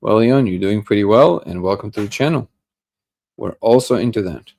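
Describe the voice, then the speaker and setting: A man's voice: fairly low pitch, moderate pace, creaky, darkish tone. A man hosting a daily livestream, chatting casually and greeting viewers by name. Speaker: livestream host. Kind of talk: casual live chat reading comments.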